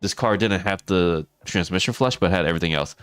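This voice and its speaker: A man with a very low, raspy voice, hoarse from losing it, talks easily. The sound is close and dry, with soft music playing behind him. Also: a little fast, no echo. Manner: chatty, talks to viewers by name, laughs often. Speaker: streamer. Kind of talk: casual livestream chat.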